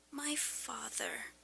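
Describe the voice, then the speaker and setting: A woman acts a troubled teenage schoolgirl in a radio drama. Her voice is high and bright, swinging widely in pitch. She speaks slowly and haltingly, defensive and sullen about what she is holding back. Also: vocal fry, exaggerated voice. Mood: afraid, halting. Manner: sullen, halting, emotional delivery.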